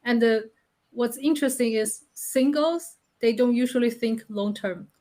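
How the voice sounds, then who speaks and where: Woman, co-host, candid, laughs often, casual podcast chat, somewhat high voice. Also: a little slow, dark tone. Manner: candid, laughs while talking.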